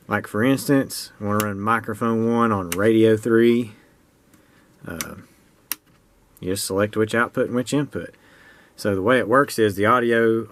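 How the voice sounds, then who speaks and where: A man's voice: fairly low pitch, slight background noise. An American man giving a casual, unscripted hands-on explanation of a homemade project in a plain-spoken, folksy way. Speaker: hobbyist presenter demonstrating a homemade radio project.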